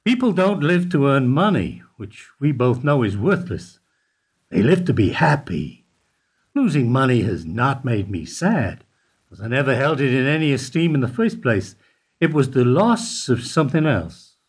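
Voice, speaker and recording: An older actor playing a calm, philosophical bank clerk who gives short, evasive answers in a radio play. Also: male voice, medium pitch, breathy edge. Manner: measured, terse, evasive replies. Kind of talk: amateur radio play acting.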